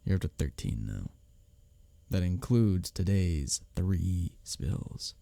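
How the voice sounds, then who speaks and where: A man's voice: low voice, sing-song, takes it slowly, creaky, dark tone, dry recording, full character voice. A man playing a bickering but secretly caring workplace rival in an intimate ASMR audio roleplay, with a teasing, sardonic manner. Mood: exasperated teasing. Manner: intimate, teasing, sardonic, chuckling between lines. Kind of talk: ASMR audio roleplay, one-sided dialogue.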